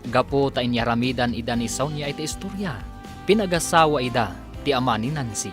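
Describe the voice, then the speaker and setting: A man's voice: medium pitch, brisk. Male radio drama announcer over music. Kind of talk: scripted radio drama narration. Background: instrumental music with guitar.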